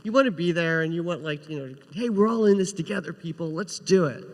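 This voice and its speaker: Higher-voiced man telling anecdotes in an echoing hall. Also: fast talker. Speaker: TV writer, panel guest. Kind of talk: casual anecdotal panel talk. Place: large indoor convention panel room. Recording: echoey hall with long reverb.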